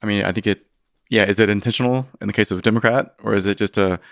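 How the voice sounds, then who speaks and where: A man's voice: fairly low pitch, fairly even intonation, quick pace, creaky, dry recording, clean studio sound. A man appearing as a historian guest on a podcast, answering thoughtfully with hedges and frequent restarts. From United States. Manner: thoughtful, hedging, with many restarts. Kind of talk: podcast interview.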